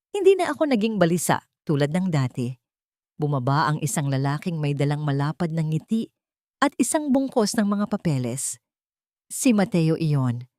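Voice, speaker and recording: A woman's voice: lowish voice, darkish tone, no room sound, clean studio sound. A woman narrating a scripted first-person dramatic story as an audiobook narrator.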